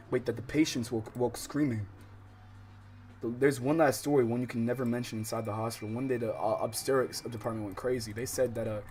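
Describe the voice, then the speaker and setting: A man livestreaming a visual novel, switching between casual, slangy, exclamatory reactions and reading the game text aloud. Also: mid-range voice. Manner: animated, loud exclamatory outbursts. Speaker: streamer playing a visual novel.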